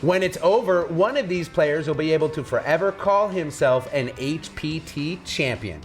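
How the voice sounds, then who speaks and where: Male sports host, fairly high voice, polished upbeat tournament commentary over faint music. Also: lively intonation, normal speed. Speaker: poker show host and commentator. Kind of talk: upbeat broadcast hosting and commentary.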